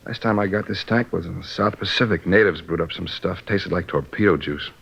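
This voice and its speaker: A man's voice: sits low, fairly quick, vocal fry, husky, exaggerated voice. An actor playing a sheepish hungover salesman being questioned by police, groggy and slangy, in a 1950s radio crime drama. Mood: groggy, puzzled. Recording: dull lo-fi old radio recording.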